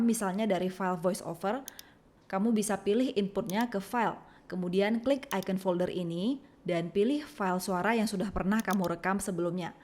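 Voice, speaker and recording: A woman with an Indonesian accent reading a scripted software tutorial, giving clear step-by-step instructions. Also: mid-range voice. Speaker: tutorial narrator.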